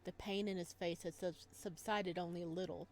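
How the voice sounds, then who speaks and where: A woman hosting a visual novel let's play, playful and expressive as she chats and reads aloud. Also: fairly low pitch, normal speed, slight background noise. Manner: playful, expressive reactions, addresses viewers. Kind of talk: visual novel playthrough, chatty commentary and read-aloud narration.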